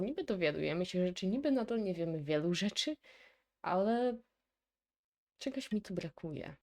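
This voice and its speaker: A Polish woman hosts a casual reaction video. Her voice sits on the low side and is very soft-edged and dark in tone. She comments in a musing way, reasoning aloud about what she watches.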